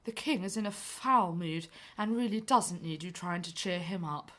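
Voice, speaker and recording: A woman's voice: fairly low pitch, normal speed, fairly bright tone, natural voice. A woman playing a stern, scheming male aide to a king in a radio play, formal and curt. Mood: hushed scolding. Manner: deferential to the king, curt with others. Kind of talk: stage musical radio play acting.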